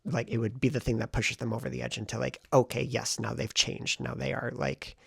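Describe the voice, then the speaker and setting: A man talking in a casual vlog style, thinking aloud in an informal, meandering way, with a husky voice. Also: mid-range voice, flat delivery, a little fast, fairly bright tone, no echo, studio quality. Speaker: vlogger and fiction writer. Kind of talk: casual rambling vlog talk.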